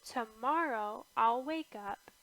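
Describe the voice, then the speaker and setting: Woman reading as a bright-voiced storybook big brother. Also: a little high, slow, no room sound. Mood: pleased. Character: young boy, easily frustrated big brother in a storybook.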